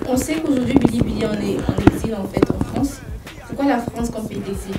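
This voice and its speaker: Poised TV hostess in an echoey studio. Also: female voice, on the high side, fairly quick. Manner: poised, formal introductions and questions. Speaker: TV show host. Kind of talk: formal TV interviewing. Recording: echoey room, distant mic, music behind.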